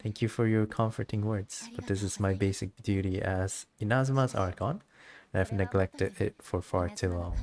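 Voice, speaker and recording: A man reads as an immortal female ruler from a fantasy game. The voice is low and formal, with the measured earnestness of someone solemn and bound by duty. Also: a little mellow.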